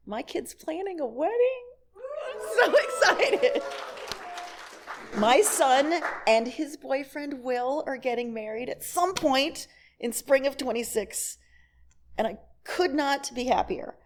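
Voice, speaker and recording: Higher-voiced middle-aged woman telling personal stories. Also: expressive, takes it slowly, long pauses. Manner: candid, wry storytelling with acted-out dialogue; laughs as she talks. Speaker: guest speaker at a church service. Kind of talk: personal testimony talk to a congregation.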